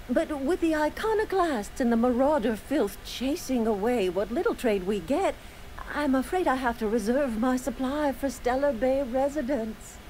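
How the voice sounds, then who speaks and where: A woman's voice: high voice, darkish tone, slight background noise, rough recording. A woman voicing a sugary older auntie in a video game, a dispensary pharmacist who coos and dotes with pet names. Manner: cooing, doting, uses pet names. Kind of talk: video game character acting.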